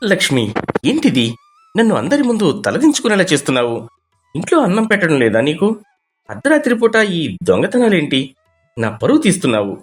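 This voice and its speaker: A man's voice: a little high, huge pitch swings, brisk, long pauses, soft background music. A man playing a stern, aggrieved young husband in a story, speaking with reproach.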